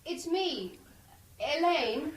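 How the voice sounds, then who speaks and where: Very high-voiced anxious woman. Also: animated, extremely slow, full character voice. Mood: tentative. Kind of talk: radio drama acting. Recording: radio drama with clear background noise.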